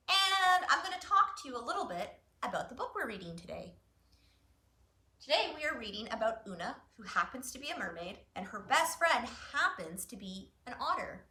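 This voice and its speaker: A woman hosting story time for young children, talking and reading aloud in an animated way, addressing them as friends. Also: fairly high pitch, moderate pace. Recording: roomy echo, faint music.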